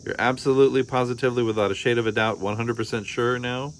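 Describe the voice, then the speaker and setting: A man's voice: mid-range voice, steady tone, quick pace, a little hiss or hum. A man reading a children's storybook aloud expressively, acting out the dialogue. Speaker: storybook reader.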